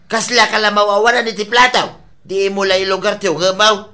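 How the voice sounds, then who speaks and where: A woman's voice: medium pitch, little pitch movement, normal speed, bright, forceful tone, natural voice. A woman playing a plain-spoken younger member of a household, chiding and reasoning in a comedy radio drama. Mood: reproachful.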